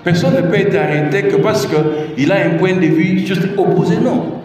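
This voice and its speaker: A middle-aged man with a Congolese French accent speaks to a crowd with emphatic repetition and pointed rhetorical questions. His voice sits a touch high for a man. It is recorded from a distance in a big hall with a long, booming echo and a faint hum of ambience. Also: normal speed, darkish tone. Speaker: presidential adviser giving a public talk. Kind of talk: public speech on the constitution. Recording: echoey hall, mic far off.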